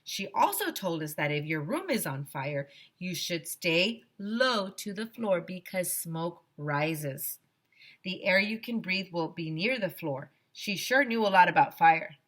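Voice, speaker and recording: Female read-aloud teacher. Slightly low voice, animated intonation, expressive storytime narration for children. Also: a little slow.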